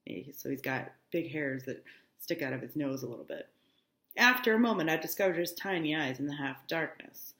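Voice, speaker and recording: Low-voiced woman in an echoey room. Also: normal speed, fairly bright tone. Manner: explanatory, breaks off to paraphrase in plain words. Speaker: high school English teacher. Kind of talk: reading a novel aloud with explanatory asides.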